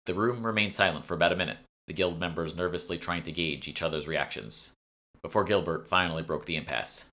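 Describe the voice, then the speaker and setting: Male narrator, low-pitched with a crisp forward edge, reading fantasy audiobook prose up close. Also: brisk, sharp tone. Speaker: author reading own audiobook. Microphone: close USB desktop microphone.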